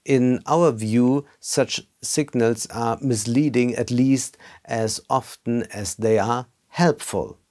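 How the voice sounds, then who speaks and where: A man presenting a scripted video essay as a film critic, with measured, careful phrasing. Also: mid-range voice, a little mellow.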